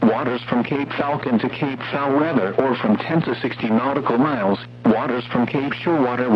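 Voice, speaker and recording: A man's synthetic-sounding voice reads scripted weather warnings as a radio announcer, in a formal, measured way. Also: medium pitch. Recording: muffled weather radio broadcast, noisy hum.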